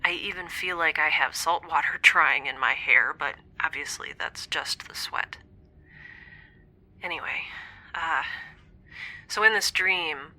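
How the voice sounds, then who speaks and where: An actress playing a lonely, wry young space scientist in an audio drama, confiding hesitantly and often dropping to a near-whisper over a log recording. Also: female voice, sits low, bright, forceful tone, full character voice. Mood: pensive, uneasy. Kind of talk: scripted audio drama monologue, intimate dream-log recording.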